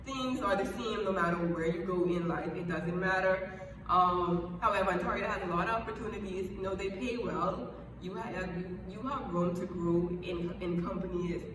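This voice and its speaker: A woman's voice: on the low side, moderate pace. A young Bahamian woman giving chatty, emphatic advice in a casual vlog, often repeating herself for emphasis. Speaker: vlogger. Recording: echoey room, far from the mic, background noise.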